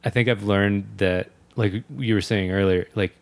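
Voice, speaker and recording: A man's voice: on the low side, fairly even intonation, normal speed. A man in his mid thirties hosting a casual interview, easygoing and chatty with lots of "yeah" and "like". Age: 30s. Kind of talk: casual conversation. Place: indoor studio with mic arms.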